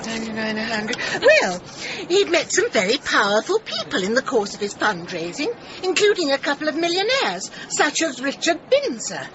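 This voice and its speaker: An actress playing a prim, confiding British village gossip in a radio comedy drama. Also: female voice, sits high, very animated, moderate pace. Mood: confiding, fussy. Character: prim, gossipy village woman who knows secrets. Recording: dull sound over clear background noise.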